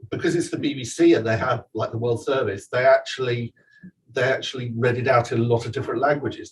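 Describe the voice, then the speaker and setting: A man's voice: medium pitch, distant mic, a little hiss or hum, poor audio. A man, the author, talking casually at a live event, chatty and digressive with many restarts and fillers. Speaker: author giving a talk. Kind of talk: live talk and audience Q&A. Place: event venue with audience.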